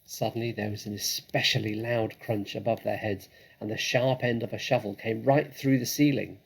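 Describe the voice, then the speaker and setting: A man reads a children's story aloud in a dramatic, expressive way. His voice is creaky and a little husky, and he draws phrases out for suspense. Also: on the low side, normal speed, rough recording. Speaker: storybook reader.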